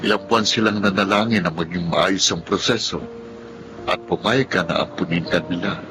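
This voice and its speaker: A man with a Filipino accent acting a gentle, earnest blind older brother in a radio drama, speaking tenderly and reassuringly. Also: deep voice, normal speed, noisy background, close to an everyday voice. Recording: dramatic music under the voice.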